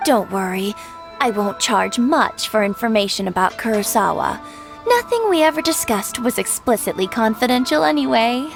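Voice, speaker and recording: A woman's voice: fairly high pitch, animated, normal speed. An actress voicing a sly, courteous, mercenary woman in a video game, polite, coy and teasing. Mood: coy, amused. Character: sly, courteous female information broker with mercenary charm. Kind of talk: English game voice acting. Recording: clear music behind the voice.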